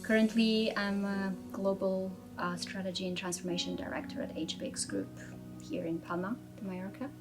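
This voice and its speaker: A woman in her thirties from Armenia, telling her life story in a measured, reflective way in an interview, with frequent fillers and restarts. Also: mid-range voice, level tone, over music. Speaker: interviewee, strategy director. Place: office.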